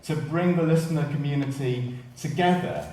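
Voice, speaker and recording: A man's voice: a little high, normal speed, mellow. A male radio host chats jovially and wanders off into asides as he interviews guests on stage. He sounds distant from the mic in a very reverberant theatre, with faint noise behind him. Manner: chatty, digressive, jovial. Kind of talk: live presenting and interviewing before an audience. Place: large radio theatre with live audience.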